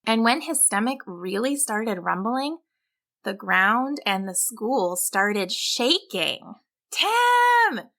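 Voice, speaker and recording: A woman reading a scripted children's story as a storyteller, with an expressive, deliberate delivery. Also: medium pitch. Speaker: storyteller, podcast host.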